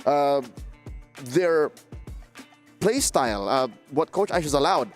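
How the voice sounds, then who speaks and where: A man's voice: fairly high pitch, expressive, takes it slowly, faint music behind. A man hosting an esports panel, casual and chatty, with teasing asides. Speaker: esports desk host.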